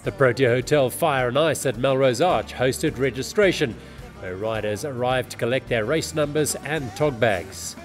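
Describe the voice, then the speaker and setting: A man giving scripted, upbeat narration of a bike race. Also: medium pitch.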